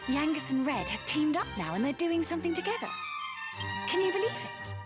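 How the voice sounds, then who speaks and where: An actress playing a lively, teasing young noblewoman in a video game, chatty and familiar in manner. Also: sits high, fairly quick. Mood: gossipy, amused. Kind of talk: scripted video game voice acting. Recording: loud music under the voice. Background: game music.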